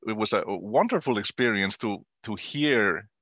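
An Icelandic man in his fifties, a native Icelandic speaker, talking conversationally as a radio guest in accented English, haltingly and often repeating words. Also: fairly low pitch, animated, fairly bright tone, no echo, muffled recording. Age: 50s. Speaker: interview guest, university dean.

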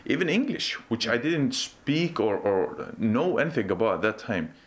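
A man's voice: mid-range voice, big pitch swings, moderate pace. A man in his twenties, a native Arabic speaker, telling his story earnestly as an interview guest, often restarting and saying "exactly". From Iraq. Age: 20s. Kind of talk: casual conversational interview. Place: reception area of a pool.

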